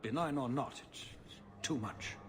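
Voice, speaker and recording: A man's voice: medium pitch, measured pace, close to an everyday voice. An actor playing a haughty, disapproving older nobleman and father in a fantasy video game, with formal, stately delivery. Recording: clear background ambience.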